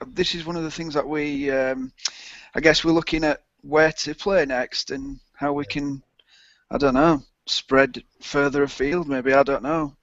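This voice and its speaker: A man from a band answers questions in an unsure way, trailing off into doubt. His voice sits fairly high. The recording is dry and dull. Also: fairly even intonation, a little slow, fairly bright tone, dry recording. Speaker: band member.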